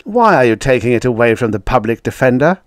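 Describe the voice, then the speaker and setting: A man voicing a heavy old district attorney in an audiobook story, blunt and gruff, wheezy and short of breath. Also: medium pitch, sing-song, quick pace, no room sound, clean studio sound, pitched above the performer's own voice, natural voice. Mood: gruff, exasperated. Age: older. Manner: blunt, exasperated, forceful.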